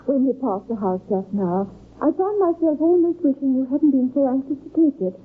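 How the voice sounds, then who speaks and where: An actress with a British accent playing a genteel, uneasy lady in an old radio drama, gentle, polite and hesitant. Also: high voice, animated, moderate pace, soft background music. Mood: uneasy, doubtful. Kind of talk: old-time radio acting. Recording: old radio recording, very narrow band.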